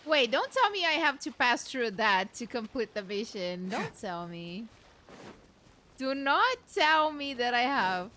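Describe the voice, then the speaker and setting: A woman's voice: on the high side, sing-song, measured pace, bright, forceful tone. A young woman giving casual, playful reactions as she plays a game on stream. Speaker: gaming streamer. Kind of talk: casual let's play reaction commentary.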